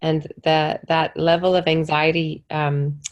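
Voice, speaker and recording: A woman on a video call speaks in a fairly low voice, gentle and reassuring. A lingering cold leaves her coughing now and then. She acts as a calm, supportive host. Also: slow, dull audio. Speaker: host and coach. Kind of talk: casual conversation over a call.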